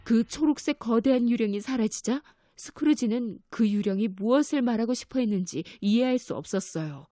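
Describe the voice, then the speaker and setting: A woman narrating a children's fairy tale in a gentle, clear storytelling manner. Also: on the high side, normal speed, no room sound. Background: music.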